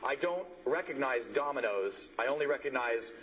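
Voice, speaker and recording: A man's voice: mid-range voice, expressive, normal speed, a bit bright, rough recording. A man hosting a live morning show interview, playful and bantering.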